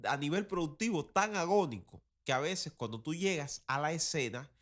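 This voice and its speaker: A man's voice: fairly high pitch, expressive, moderate pace. A man giving casual, opinionated commentary in a colloquial, critical manner, as a podcast host.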